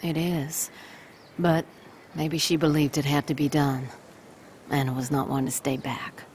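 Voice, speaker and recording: An actress playing a stern but compassionate woman in a video game, with formal, measured phrasing. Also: low-pitched, little pitch movement, a little mellow, a little hiss or hum. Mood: grave, compassionate. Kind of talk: video game cinematic dialogue.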